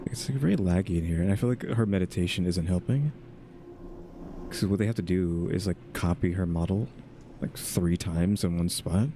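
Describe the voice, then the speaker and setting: Low-voiced man doing casual game commentary. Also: moderate pace, noisy background. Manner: informal, thinking aloud. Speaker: gaming channel host. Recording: game music behind the voice.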